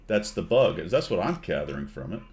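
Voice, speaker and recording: A man with a somewhat low voice hosts a relaxed gaming chat. He reads notes aloud with amused disbelief. His delivery is easygoing and conversational. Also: a little fast. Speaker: podcast host.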